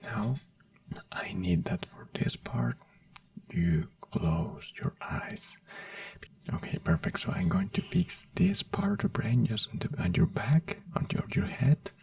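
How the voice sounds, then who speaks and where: An ASMR creator in a doctor roleplay, whispering softly in slow, soothing, simple reassuring phrases. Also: mid-range voice, sing-song, vocal fry, dry recording, distant mic.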